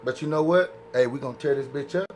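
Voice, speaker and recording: A man's voice: medium pitch, fast talker. A man chatting casually on a podcast, blunt and impassioned, using slang and repeating himself as he argues. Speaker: podcast panelist. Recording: faint background noise.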